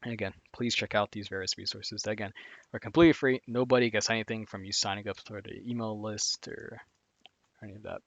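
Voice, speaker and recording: A man's voice: on the low side, steady tone, fast talker, gravelly, no room sound, muffled recording. A man streaming live, talking casually through a list of finance reading resources, rambling with lots of fillers and restarts. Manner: rambling, heavy on "like" and "again", frequent restarts.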